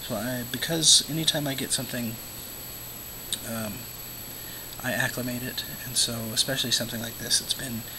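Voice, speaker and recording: A man hosting a home aquarium vlog, his voice hoarse and scratchy from illness and often fading to a whisper. He rambles in a relaxed way with plenty of fillers. Steady background noise sits under the recording. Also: mid-range voice, normal speed. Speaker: aquarium vlogger, show host. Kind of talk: casual talk to camera.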